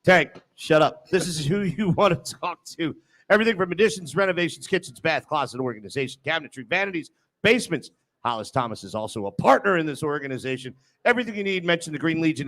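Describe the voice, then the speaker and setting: Male sports radio host, voice a bit high, live on location, jokey and teasing. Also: fairly bright tone. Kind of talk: live sports talk banter and sponsor reads. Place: live broadcast from a venue.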